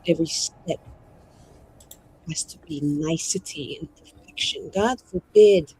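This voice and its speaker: A man's voice: on the high side, measured pace, a little mellow, close to an everyday voice, rough recording. Man playing a proud, embittered mage, faint noise. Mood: defiant, bitter. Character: proud, embittered male mage, defiant and formal.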